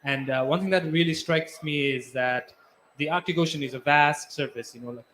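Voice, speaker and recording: A man's voice: fairly high pitch, normal speed, a bit bright. A man from Nepal, a climate researcher explaining earnestly on a panel. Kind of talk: spontaneous panel talk.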